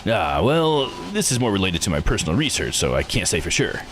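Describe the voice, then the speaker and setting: A man's voice: deep voice, sing-song, fairly quick, slight background noise. A man voicing a sly, courteous, inquisitive researcher in a visual novel, with a mannered, teasing delivery. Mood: polite, probing. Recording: music behind the voice.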